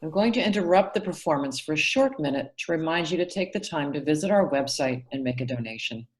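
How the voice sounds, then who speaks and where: A woman's voice: low voice, animated, fast. A woman hosting a show, giving a polite scripted appeal directly to viewers. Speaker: host making a donation appeal.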